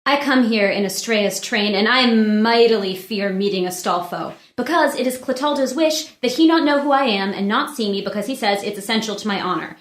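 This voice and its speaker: A woman's voice: fairly high pitch, a little fast, hardly any pauses, lightly acted. A woman playing an anxious, guarded young noblewoman in disguise in a dramatic play reading, earnest and formal. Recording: roomy, echoing indoor pickup.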